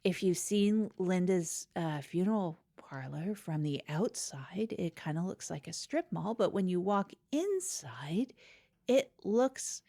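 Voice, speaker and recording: A woman playing an earnest, folksy small-town co-host on a comedy podcast, halting and agreeable, often repeating herself. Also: fairly low pitch, lively intonation, dark tone, exaggerated voice. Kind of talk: improvised comedy chat in character.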